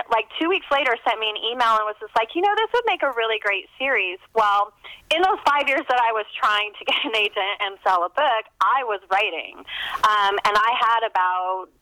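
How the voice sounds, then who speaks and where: A woman's voice: fairly high pitch, expressive, normal speed, sharp tone, poor audio. A woman speaking as an interview guest, an author telling her story in a casual, conversational way.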